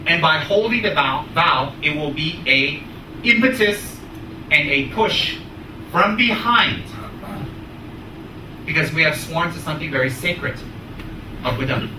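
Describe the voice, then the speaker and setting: A man's voice: on the high side, expressive. American man teaching in an echoey room. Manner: emphatic, deliberate, short repeated phrases. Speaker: Buddhist teacher. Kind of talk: spoken teaching with questions to students. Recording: echoey room, distant mic, clear noise.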